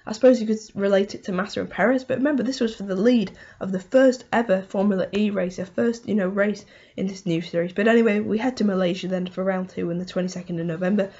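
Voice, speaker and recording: A woman with a hoarse voice from a cold, hosting a casual motorsport race review and rambling through it with lots of "um" and "you know". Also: medium pitch, fairly even intonation, fast talker, hardly any pauses, dark tone, lo-fi sound. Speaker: motorsport video host.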